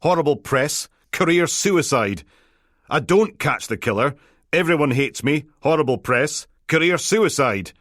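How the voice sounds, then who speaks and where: A man's voice: a little high, expressive, a little slow, fairly bright tone, no room sound, studio quality, pitched above the performer's own voice. A man voicing a self-pitying detective inspector who whines in despair. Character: gloomy male detective inspector, whining.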